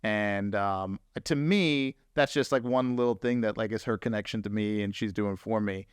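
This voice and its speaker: A man's voice: fairly low pitch, moderate pace, clear, resonant tone, no echo, clean studio sound. A man from New Jersey hosting a podcast in casual chat, talking loosely with lots of filler words. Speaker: podcast host.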